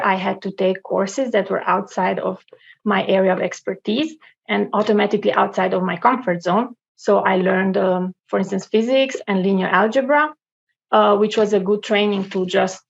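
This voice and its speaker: A woman in her thirties from Romania presents her career path over slides, pausing often with fillers. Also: medium pitch, creaky, highs cut off. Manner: halting, many fillers. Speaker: scientist giving a career talk.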